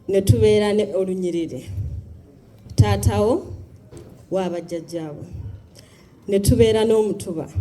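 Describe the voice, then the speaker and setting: A speaker explaining clan customs in Luganda, pausing often. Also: medium pitch, warm tone. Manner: halting, explanatory. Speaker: panelist. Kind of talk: explaining tradition. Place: community hall.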